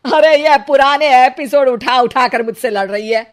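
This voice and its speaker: Lady doing a cranky old mother in law from a cartoon, high voice, scolds and sneers. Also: normal speed, lightly acted. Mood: furious, mocking. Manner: scolding, sharp-tongued. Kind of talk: exaggerated Hindi cartoon family drama.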